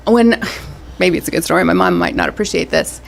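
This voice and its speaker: Friendly young actress telling stories. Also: female voice, lowish voice, huge pitch swings, brisk, vocal fry. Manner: friendly, laughs easily, storytelling. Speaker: guest, stage actress. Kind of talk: casual interview chat.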